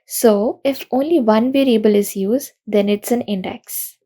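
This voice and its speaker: A young woman giving a recorded conference talk, explaining programming pitfalls in an earnest, steady way. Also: a little high, unhurried. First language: not Russian. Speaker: conference speaker.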